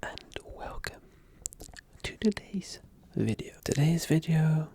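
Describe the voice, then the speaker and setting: A man whose first language is French, talking softly and whispering into a close mic as an ASMR creator. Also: on the high side, lively intonation, slow pace, breathy edge. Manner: soft-spoken, drifting into whispers. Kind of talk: soft-spoken ASMR talk.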